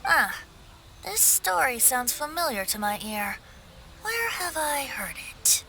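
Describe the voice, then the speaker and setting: Game bard: youthful boy, high lively voice, playful, self-satisfied, theatrical flourishes, music underneath. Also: slow pace, exaggerated voice. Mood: musing. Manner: lilting, flowery, self-satisfied. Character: boyish young male bard, breezy, playful, theatrical, vain. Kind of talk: video game voice acting. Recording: music behind the voice.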